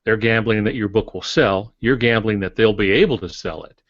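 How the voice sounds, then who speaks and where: Male author for podcast discussion: lowish pitch, plainspoken and colloquial, slightly muffled audio. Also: brisk. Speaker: author, podcast guest or panelist. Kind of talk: casual explanatory podcast talk. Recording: dull, roomy podcast audio.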